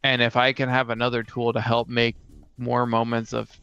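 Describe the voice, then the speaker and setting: A man's voice: mid-range voice, completely flat delivery, normal speed, pushed and bright, clear, resonant tone. A man speaking in a casual podcast discussion, in a slightly muffled, dry recording. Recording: slightly muffled, dry, faint music behind.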